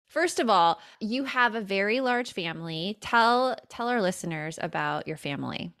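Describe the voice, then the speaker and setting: A woman's voice: mid-range voice, animated, normal speed, fairly bright tone, clear, resonant tone, dry recording, studio quality. A woman hosting a parenting podcast in casual, chatty conversation, laughing often. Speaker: podcast host. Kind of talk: casual chat.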